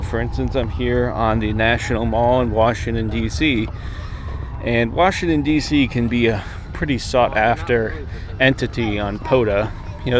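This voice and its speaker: An American man in his thirties talks casually and directly to his viewers, offering tips in a conversational, slightly hedging way. His voice sits at an average male pitch. It is recorded outdoors on a camera at arm's length, with faint urban and vehicle noise behind him. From United States. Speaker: amateur radio vlogger. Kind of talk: casual instructional vlog to camera. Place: outdoors, open park path beside water. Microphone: camera held at arm's length. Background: jets, vehicles, urban outdoor noise.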